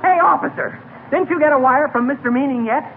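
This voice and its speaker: An actor playing an excitable, grumbling, slangy small-town husband in a folksy, blustering 1940s radio comedy style. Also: male voice, extremely high pitch, fast, narrow, tinny audio, a loud crowd. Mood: breezy, impatient. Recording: scratchy, thin 1940s radio recording.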